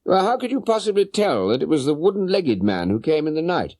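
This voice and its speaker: This is a man performing a British gentleman detective from an audiobook story, coolly confident and urbane. His delivery is clipped and probing, with lively intonation and a dry, close sound. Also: on the high side, a little fast, dry recording, pitched above the performer's own voice. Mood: courteous probing. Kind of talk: audiobook character voice.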